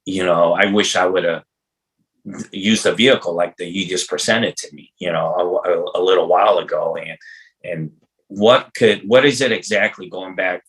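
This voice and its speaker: A man in his fifties with a fairly low voice hosts a podcast. He talks in a loose, rambling, conversational way, repeating words as he goes and throwing in casual slang. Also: normal speed, a bit bright, rough recording. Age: 50s. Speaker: podcast host. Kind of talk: casual, rambling interview chat.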